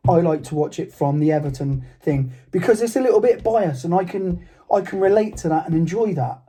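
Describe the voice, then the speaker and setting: A man co-hosting a casual football chat, chatty and playful, with a voice he calls high-pitched. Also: expressive, brisk, mellow, slightly rough. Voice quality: says he has a high-pitched voice. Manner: chatty, playful, argues back easily. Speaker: podcast co-host.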